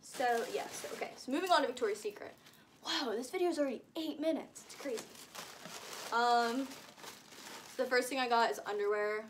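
A woman's voice: fairly high pitch, brisk, slight background noise. A nineteen-year-old woman chatting casually in a shopping haul vlog, rambling and gushing with lots of filler "like" and exaggeration. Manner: rambling, gushing, uses frequent "like" and hyperbole. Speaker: vlogger showing shopping haul. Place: indoors, small room with curtain backdrop.

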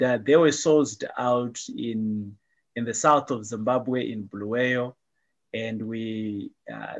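A man's voice: medium pitch, dull audio. Upbeat middle-aged man hosting a video call. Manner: upbeat, repeats phrases like "awesome stuff". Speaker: host. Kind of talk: casual video-call interview.

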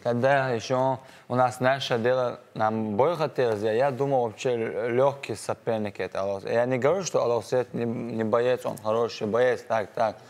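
A young man, an MMA fighter, speaking haltingly with broken grammar at a studio press conference, on a lapel mic. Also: mid-range voice, steady tone, darkish tone. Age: young adult. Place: dark studio set, seated in armchair.

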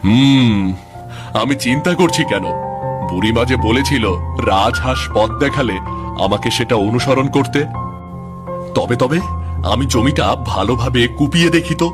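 A man's voice: medium pitch, moderate pace, long pauses, over music, close to an everyday voice. A man playing a poor, earnest village farmer in a cartoon folk tale, plaintive and questioning himself. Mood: resolute. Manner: exclamatory, self-questioning, plaintive. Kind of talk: Bengali animated fairy-tale dialogue.

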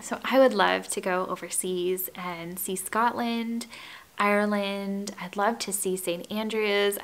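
A woman in her thirties with a breathy, calming voice, chatting casually to camera from her living room. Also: medium pitch. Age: 30s. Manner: gentle, calm, chatty with light laughs. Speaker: home and lifestyle YouTuber. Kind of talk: casual get-to-know-me chat to camera. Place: home living room with wood floors. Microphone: camera at chest-up framing.

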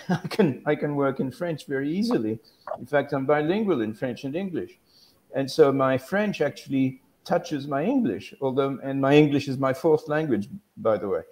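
A man's voice: a little high, a little mellow. An older man musing in casual discussion on a video call, often restarting and correcting himself. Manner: rambling, self-correcting, musing. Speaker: guest academic.

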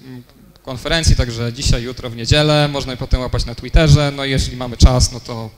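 A man's voice: medium pitch, steady tone, brisk. A young man giving a technical conference talk in a lecture room, explaining step by step with many fillers and self-corrections. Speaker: conference speaker. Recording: long hall reverb, faint noise.

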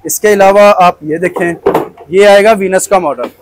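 A man's voice: high voice, moderate pace, noisy background. A man, giving an explanatory sales demonstration as a shopkeeper on a lapel mic. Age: 20s. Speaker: shopkeeper, wholesale chair trader. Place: small crowded shop indoors. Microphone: clip-on lapel microphone.

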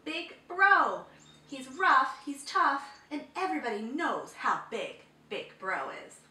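A woman's voice: high voice, sing-song, takes it slowly, bright, forceful tone, reverberant, recorded from a distance, pitched above the performer's own voice, exaggerated voice. A woman voicing a dramatic, cowardly storybook chimpanzee in an emphatic, whooping manner.

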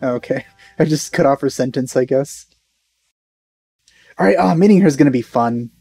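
A man's voice: mid-range voice, animated, normal speed, gravelly, warm tone, husky, dry recording, soft background music. A young man giving casual reaction commentary in a laid-back, musing way. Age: young adult. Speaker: reaction video host.

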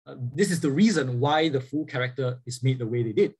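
Male Bible teacher on a video call, husky voice, middle pitch, earnest, lots of fillers and restarts. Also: a little fast, a little mellow. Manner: earnest, asks the audience rhetorical questions; frequent fillers and repeated restarts. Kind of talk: church lecture over slides.

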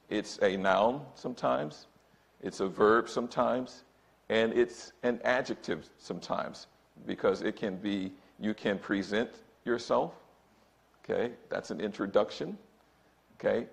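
A man's voice: medium pitch, vocal fry, a bit bright. A man in his sixties, a church elder, preaching emphatically from a pulpit and often calling out to brothers and sisters. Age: 60s. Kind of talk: sermon. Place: church sanctuary, indoors. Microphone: pulpit microphone, far camera.

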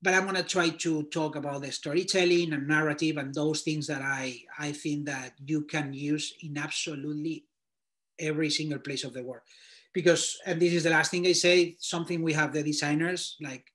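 A man's voice: fairly high pitch, fairly even intonation, normal speed, a bit bright. A man in his thirties from Spain with a Spanish accent, a native Spanish speaker talking casually on a video call from a small room at home, loose and full of fillers as he thinks aloud. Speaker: guest portfolio reviewer. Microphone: laptop webcam video call.

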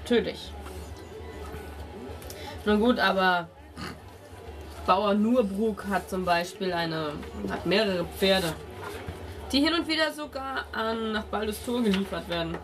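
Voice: medium pitch, animated, takes it slowly, pauses often. Game master playing a chatty village innkeeper over music. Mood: obliging. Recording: music behind the voice.

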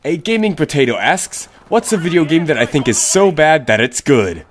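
A man's voice: a little high, very animated, moderate pace, slight background noise. Stuffy-nosed young man rambling casually. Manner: rambling, self-correcting, blunt opinions. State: stuffy, sick. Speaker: YouTube channel host. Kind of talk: casual Q&A answering viewer questions.

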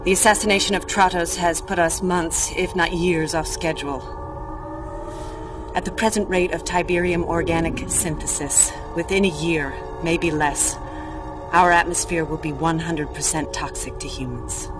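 A woman in her thirties playing an earnest, forceful scientist in a video game cutscene, serious and insistent. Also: fairly low pitch, steady tone, normal speed, over music, lightly acted. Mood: serious, focused.

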